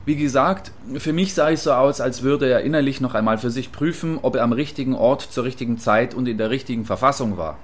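A man playing an earnest field reporter in a radio play, giving wordy, factual and deliberate live reports. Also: mid-range voice, natural voice. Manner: factual, deliberate, long-winded.

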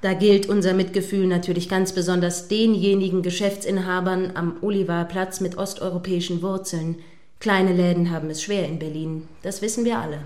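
A woman's voice: lowish voice, steady tone, fairly quick, darkish tone, roomy sound, close to an everyday voice. A woman playing an earnest, formal radio news anchor questioning a reporter in a radio play. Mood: earnest, concerned. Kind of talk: satirical radio play live news interview.

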